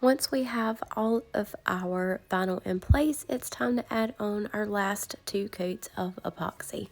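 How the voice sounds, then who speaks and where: A woman narrating a craft tutorial, her voice raspy and hoarse from a cold, explaining each step in a friendly way. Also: medium pitch, unhurried, soft background music.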